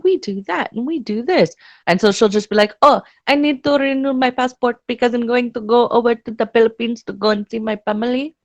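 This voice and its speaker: A woman's voice: medium pitch, no room sound. A woman chatting casually with friends, laughing often and trying out silly phrases. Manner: giggly, puts on mock Spanish phrases. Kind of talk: casual banter.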